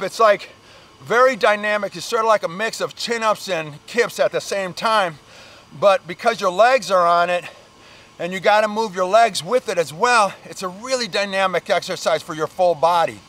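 Energetic man in his forties, high voice, fitness coach outdoors, faint traffic behind. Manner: energetic, emphatic, casual slang. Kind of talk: outdoor workout demonstration. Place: outdoor park playground.

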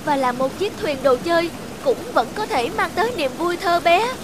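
A woman's voice: extremely high pitch, expressive, moderate pace, noisy background. A woman voicing a regretful, lamenting talking boat in a children's cartoon. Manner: pleading, lamenting. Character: regretful talking boat, once a proud mast. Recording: music under the voice.

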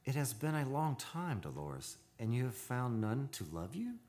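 A man's voice: medium pitch, big pitch swings, normal speed, a little mellow, close to an everyday voice. A man playing a tormented, lovestruck mortal in an old-time radio drama, with brooding, theatrical and formal delivery. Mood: questioning, troubled.

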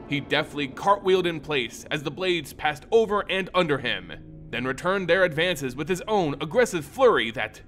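A man reading a fantasy tale as a dramatic narrator, with theatrical, deliberate phrasing. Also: fairly high pitch, fairly bright tone. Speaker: storyteller, narrator.

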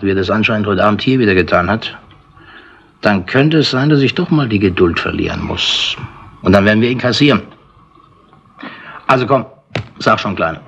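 An actor playing a shrewd, patronising older London chief inspector in a wry, colloquial way, in 1960s radio drama style. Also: male voice, fairly low pitch, a bit bright, breathy edge, dull audio, exaggerated voice. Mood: coaxing, firm. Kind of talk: 1960s German radio crime drama acting. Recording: noisy 1960s radio tape with clicks.

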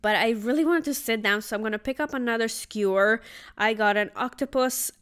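A woman's voice: a little high, a little fast, a bit bright, smooth. A woman travel vlogger chatting casually about market food as she tastes it. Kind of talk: casual travel vlog narration. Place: outdoor night market.

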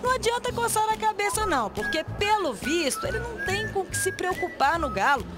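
Female narrator, high animated playful read, loud music underneath. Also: fairly quick. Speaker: reporter. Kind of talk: scripted news voice-over. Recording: voice-over with loud music bed.

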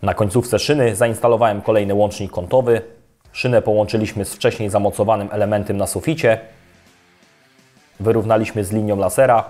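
A young adult man giving a calm, scripted step-by-step voice-over for an electrical installation video. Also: on the low side, brisk. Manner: calm, even, step-by-step instructional delivery. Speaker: electrician presenting a how-to video. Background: soft guitar music.

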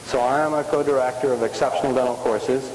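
A loud, middle-aged man speaks at a conference, chatty and energetic, pausing to quiz the room. His voice carries a long reverb through a big hotel ballroom, with a faint hiss under the sound. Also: medium pitch. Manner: energetic, conversational, asks the audience questions. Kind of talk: conference lecture. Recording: echoey hall with faint hiss.

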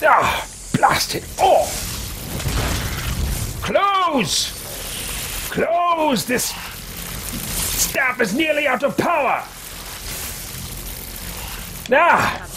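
A man's voice: extremely high pitch, big pitch swings, very slow, pauses often, background noise, heavily acted. A man roleplaying an elderly, earnest wizard in a video game, talking to himself in formal, old-fashioned, theatrical language. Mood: exclaiming, alarmed. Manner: formal, archaic, theatrical self-talk.

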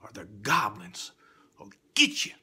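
A middle-aged man reciting a children's poem like a spooky storyteller, swinging between hushed whispers and loud cries. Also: fairly low pitch, big pitch swings, measured pace, husky. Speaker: storyteller reading children's poetry. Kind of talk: dramatic theatrical poetry recitation for children.

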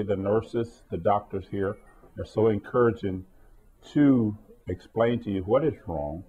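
An older man, a heart failure patient, speaking slowly and earnestly in a small clinic exam room. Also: sits low, breathy edge. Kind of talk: testimonial interview. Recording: phone-like narrow sound.

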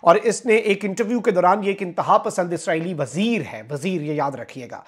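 Young pakistani urdu guy, kinda high voice, reading news on a lapel mic in a small studio, uh-pauses when he talks to viewers. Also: breathy edge. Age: young adult. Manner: reads news updates steadily, adding asides to viewers with filler pauses. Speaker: news commentator. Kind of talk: online news commentary.

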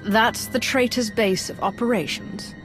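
An actress voicing a cold, ruthless female dark lord in a video game, curt and commanding. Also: a little high, a little slow, close to an everyday voice, poor audio. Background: game music.

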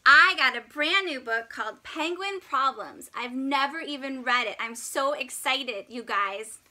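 A woman in her thirties hosting a read-aloud for young children, with enthusiastic, expressive storytelling. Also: high voice, unhurried. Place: small indoor room before a fabric backdrop.

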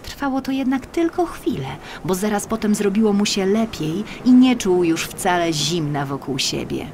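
A woman reading a scripted children's story as an audiobook narrator, with expressive, deliberate phrasing. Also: mid-range voice, a little hiss or hum. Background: music.

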